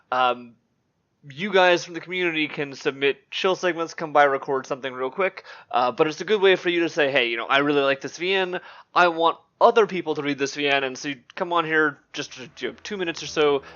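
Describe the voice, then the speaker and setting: A man's voice: a little high, a bit bright, lo-fi sound. A man hosting a podcast in a casual, conversational way. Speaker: podcast host.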